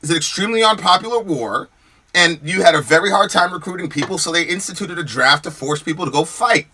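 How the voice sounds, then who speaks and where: An American man argues into the mic with a sharp, forceful edge, and his voice sits a little higher than most. He fires off rhetorical questions and restarts sentences as he presses his point. It sounds like a podcast host answering his chat. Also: expressive, fast talker. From United States. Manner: repeats words and restarts when worked up. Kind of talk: heated livestream commentary answering chat comments.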